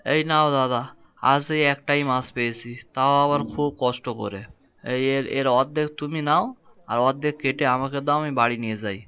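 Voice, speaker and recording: Man acting a folksy, deep-voiced cartoon boatman. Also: steady tone, brisk, pushed and bright, clean tone, no echo, lightly acted. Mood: sly, friendly. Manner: colloquial, folksy, hesitant, repeating words. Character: humble boatman turned fisherman, wheedling, henpecked husband. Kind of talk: animated folk tale voice acting. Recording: dull lo-fi sound with music behind.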